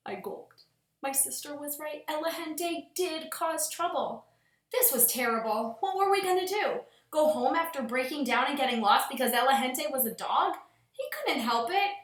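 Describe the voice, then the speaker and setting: A woman with a fairly high voice speaks to young children like a teacher at story time. Her delivery is expressive and clearly addressed to little listeners. The room adds a noticeable echo around her words. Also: moderate pace. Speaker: teacher reading aloud to children. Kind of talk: expressive picture-book read-aloud.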